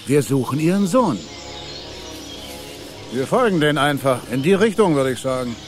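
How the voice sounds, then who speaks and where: Matter-of-fact fake mercenary played by a man, highish. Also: big pitch swings, moderate pace, faint music behind. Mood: decisive. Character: evasive, matter-of-fact tour operator posing as mercenary. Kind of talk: German audio drama acting.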